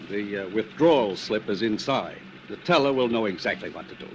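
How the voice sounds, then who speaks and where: An actor playing a sly crime boss in 1950s radio drama, coaxing and measured with small hesitations. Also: male voice, lowish voice, lively intonation. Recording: noisy old radio recording.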